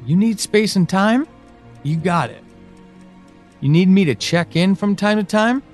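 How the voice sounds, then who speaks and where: An actor playing an anguished, pleading, devoted man in an audio drama monologue, speaking haltingly and emotionally. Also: sits high, lively intonation, soft background music. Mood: apologetic, tender. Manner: halting, hesitant, emotional, with sighs and pauses.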